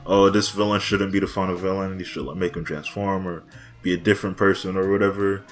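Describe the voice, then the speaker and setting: Young man, very low voice, casual slangy podcast talk, faint music behind. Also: flat delivery, fairly quick. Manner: laid-back, lots of "like" and "bro", laughs often. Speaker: podcast co-host. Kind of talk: casual chat.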